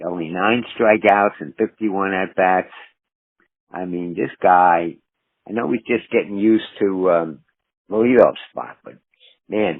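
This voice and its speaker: A man's voice: sits low, slightly rough. A man calling in with casual fan talk. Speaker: caller. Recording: muffled phone voicemail line.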